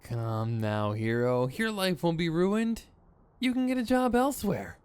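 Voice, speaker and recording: A man's voice: a little high, expressive, a little slow, clean tone, dry recording. A man playing a theatrical, sardonic supervillain in a scripted ASMR roleplay, exasperated and smug, with mock menace and a grumbling, rambling streak. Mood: smug, coaxing.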